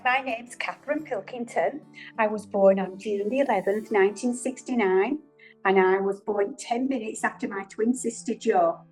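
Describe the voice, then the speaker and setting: A woman in her fifties with a mid-pitched voice. She speaks cheerfully and clearly over a webcam. Light music runs behind. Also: moderate pace, a little mellow, rough recording. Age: 50s. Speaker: interviewee. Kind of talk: casual reminiscing.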